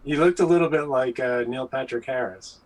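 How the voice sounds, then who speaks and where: A man's voice: mid-range voice, fairly quick, poor audio. A man speaking casually as a guest on a panel. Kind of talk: casual chat.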